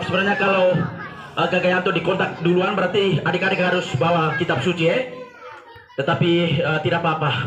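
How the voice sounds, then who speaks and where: A man with an eastern Indonesian accent giving a simple, repetitive moral talk to children as a storyteller. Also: on the high side. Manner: addresses children simply, repeating key phrases. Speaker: storyteller speaking to schoolchildren. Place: echoey school room. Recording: echoey room with children's crowd noise.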